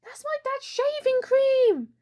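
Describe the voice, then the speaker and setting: Woman doing a very high, curious little girl. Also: animated, slow, warm tone, full character voice. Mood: alarmed. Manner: earnest, questioning. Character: curious, excitable little girl with endless questions.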